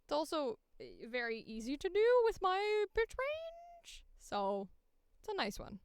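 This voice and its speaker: A woman's voice: extremely high pitch, huge pitch swings, unhurried, no room sound, studio quality. A woman voice actor chatting casually and playfully on a stream, thinking aloud as she works. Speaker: voice actor recording auditions. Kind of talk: casual live-stream chat while working.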